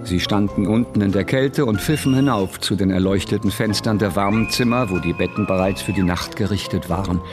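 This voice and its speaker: An actor playing a reflective, melancholy narrator in a radio drama, speaking in a measured, deliberate way. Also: male voice, on the low side, lightly acted. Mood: melancholy observing. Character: reflective literary narrator, melancholy, observing old Berlin. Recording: music behind the voice.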